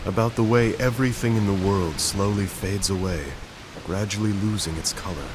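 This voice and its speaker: An actor playing a dying young composer, soft and reflective. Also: male voice, sits low, moderate pace, lightly acted. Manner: soft, reflective, searching delivery. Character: ailing young male composer, gentle and melancholic. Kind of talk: video game cutscene dialogue. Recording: hissy game audio capture.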